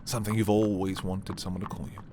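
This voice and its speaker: A man playing a possessive, commanding prince in a dark fantasy ASMR roleplay, deliberate and imperious yet intimate. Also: deep voice, flat delivery, a little hiss or hum, lightly acted. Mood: teasing, soft. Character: possessive, commanding young prince, darkly romantic schemer. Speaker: audio roleplay performer.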